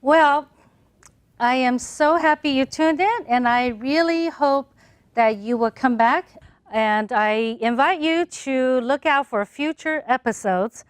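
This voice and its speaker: A woman's voice: sits high, slow pace, smooth, dry recording. A woman hosting a panel talk show, asking questions and closing the episode. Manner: warm affirming listener, many soft mm-hmms.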